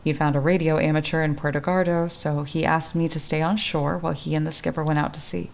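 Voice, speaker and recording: A woman playing a genteel, anguished widow with a calculating streak in an old-time radio detective drama, speaking in a halting, dramatic way. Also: sits low, little pitch movement, gravelly. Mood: nostalgic, composed.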